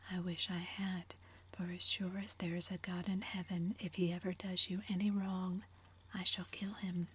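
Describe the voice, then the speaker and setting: A woman's voice: on the low side, flat delivery, moderate pace, fairly bright tone, husky. A woman whispering the part of a sullen, protective sixteen-year-old brother in a storybook reading. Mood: fierce.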